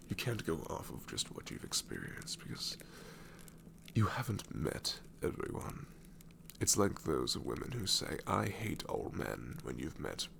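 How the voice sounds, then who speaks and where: Man voicing a very deep, gravelly ancient demon. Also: background noise, full character voice. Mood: gently reassuring, then wry, exasperated. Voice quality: very deep, gravelly, often breaking into whisper. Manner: wry, world-weary, reassuring. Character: ancient sassy male shadow demon, grudgingly tender. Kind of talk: ASMR roleplay monologue.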